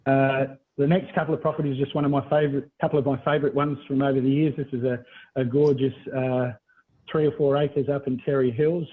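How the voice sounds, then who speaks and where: Middle-aged Australian male presenter, husky, conversational sales delivery on a thin phone-like call. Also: medium pitch, level tone, brisk. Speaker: property buyers agent presenting. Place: indoors on a video call. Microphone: video call.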